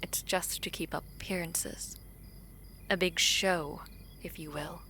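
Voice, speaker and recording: A woman playing a guarded, proud princess in a fantasy audio drama, speaking formally and deliberately with breathy phrase endings. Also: on the low side, steady tone, sharp tone, a little hiss or hum, full character voice. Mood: guarded, reflective. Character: guarded, regal princess, a proud and protective sister.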